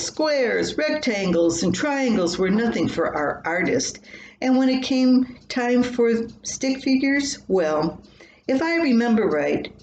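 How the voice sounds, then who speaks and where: An older woman reading her own scripted personal story aloud. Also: medium pitch. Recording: roomy echo, faint background noise.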